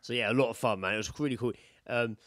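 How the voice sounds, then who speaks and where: Man, British, thirties, casual chat, matey storytelling, swearing, medium pitch, dry room sound. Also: fast talker, a bit bright, no echo. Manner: sweary, matey storytelling, quoting himself and others. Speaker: podcast co-host.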